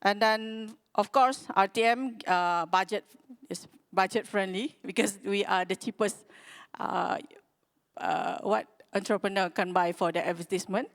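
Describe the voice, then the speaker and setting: A woman with a Malaysian accent giving a conference presentation in a halting, hesitant way. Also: medium pitch, creaky. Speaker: conference speaker from a radio broadcaster.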